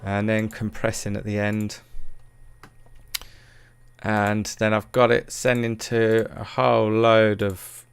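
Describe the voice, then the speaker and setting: A man with a fairly low, clean and resonant voice, recorded dry and close. He talks through a software tutorial casually, rambling and hesitating as he searches for words. His manner is informal and self-deprecating. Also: monotone, takes it slowly, creaky, pauses often, clear, resonant tone, dry recording. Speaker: music production tutorial presenter.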